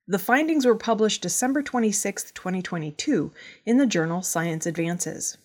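A woman's voice: mid-range voice, animated, normal speed, loud music behind the voice. A woman working as a news reader, narrating scripted news stories.

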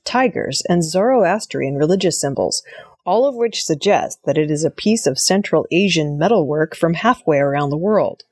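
A female news reader delivers scripted narration. Her voice sits a little low and has a husky grain. The recording is very dry, without room sound. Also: a little mellow, no echo.

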